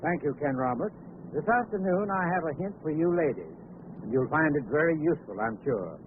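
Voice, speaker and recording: Male vintage radio expert, higher-pitched, instructive sponsor read, crackly narrow-band sound. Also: animated, a little fast. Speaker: heating expert giving sponsor message. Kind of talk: scripted 1940s radio advertising talk.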